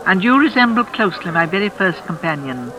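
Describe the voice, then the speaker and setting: An actress playing a lonely, mysterious old gentlewoman in a 1940s radio suspense play, formal, precise and insistent. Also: on the low side, big pitch swings, fairly quick, noisy background. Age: older. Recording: vintage radio drama with music behind.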